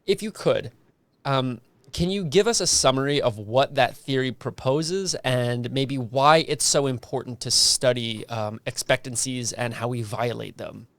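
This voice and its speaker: A man's voice: medium pitch, measured pace, fairly bright tone, no room sound. A man hosting a casual interview podcast, chatting informally and explaining things as he goes. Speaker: podcast host.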